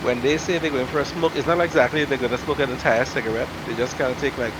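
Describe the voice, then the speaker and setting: A man's voice: mid-range voice, steady tone, fast. Wry man on a hissy voice chat. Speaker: flight sim pilot. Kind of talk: casual chat on voice channel.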